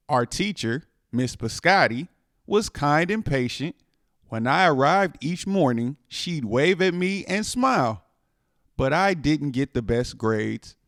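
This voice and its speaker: Male storytime reader, higher-pitched voice, highly expressive picture book delivery, dry close sound. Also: dry recording. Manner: expressive, dramatic emphasis with drawn-out words. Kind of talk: animated children's picture book read-aloud.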